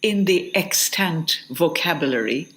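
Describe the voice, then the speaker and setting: A woman's voice: low voice, lively intonation, a little slow, fairly bright tone. A middle-aged woman, a literature professor answering interview questions in a reflective, hesitant way. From Jamaica. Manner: thoughtful, hesitant, with restarts.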